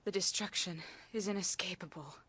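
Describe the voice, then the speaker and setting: An actress playing a grave elven fortune teller in a video game, speaking in a stately, portentous and formal manner. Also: female voice, fairly low pitch, moderate pace, fairly bright tone, breathy edge, no echo. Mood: grave, urgent. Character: solemn elven fortune teller, grave adult woman prophetess. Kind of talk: dramatic game cutscene acting.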